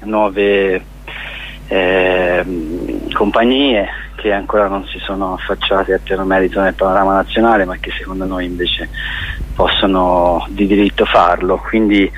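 A man's voice: low voice, little pitch movement, a little slow, slightly rough, lo-fi sound. A man giving a casual interview as a guest, circling back and repeating his phrases as he explains. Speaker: festival organizer, interview guest.

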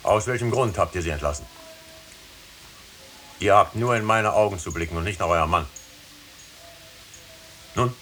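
Stern older judge in old radio play, low voice, imperious, faint hiss. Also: male voice, a bit bright. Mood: commanding. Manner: deliberate, imperious. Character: stern, commanding older judge, coldly authoritative. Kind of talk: 1950s German radio drama acting. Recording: faintly hissy 1950s radio recording.

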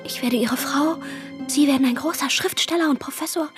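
An actress playing a dreamy, lovestruck teenage girl with a breathy, half-whispered voice in a radio play. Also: sits high, a little fast, music in the background, close to an everyday voice. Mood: tender, yearning. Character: dreamy, lovestruck fifteen-year-old girl. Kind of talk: intimate radio play dialogue.

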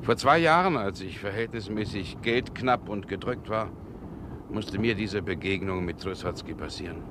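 An actor playing a brooding, haunted Russian gentleman in a German radio drama, speaking gravely and haltingly. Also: on the low side, lightly acted. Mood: musing, relieved. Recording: 1960 radio recording, some background noise.

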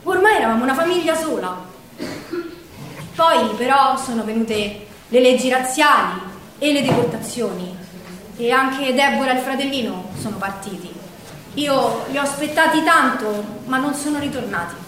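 A woman's voice: high voice, lively intonation, moderate pace, fairly bright tone. A woman with a Roman accent playing a feisty working-class partisan who recalls her girlhood in a colloquial, emphatic stage monologue. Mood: tender, sorrowful. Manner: colloquial, emphatic, dramatic storyteller. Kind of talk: theatrical first-person storytelling monologue. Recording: very echoey hall, distant mic, faint noise.

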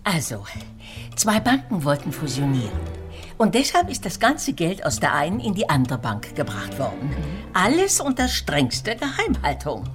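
A talkative small-town landlady in a radio crime play. Her voice is on the low side and swings very widely, homely and full of gossipy relish, with music clearly behind it. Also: wildly swooping pitch, moderate pace. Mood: relishing, scandalized. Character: chatty, gossipy female guesthouse owner, homely and excitable. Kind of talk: German radio crime drama acting.

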